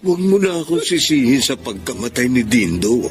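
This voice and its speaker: A man's voice: mid-range voice, very animated, a little fast, music in the background, lightly acted. An actor playing a stubborn, commanding father who drives his sons to box, in a Filipino radio drama. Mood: subdued, stubborn. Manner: emphatic, commanding, theatrical. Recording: noisy background ambience.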